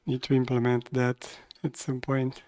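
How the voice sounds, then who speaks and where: A man in his thirties doing casual, low-key live commentary on a game, thinking aloud as he plays. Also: monotone, measured pace, darkish tone. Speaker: streamer and game developer.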